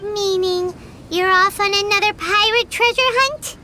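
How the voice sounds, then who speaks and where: Squeaky, excitable fairy sidekick. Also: female voice, unhurried, very noisy. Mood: incredulous. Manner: chirpy, refers to self in third person. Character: tiny excitable bossy fairy sidekick, female. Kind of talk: video game voice acting. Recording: music behind the voice.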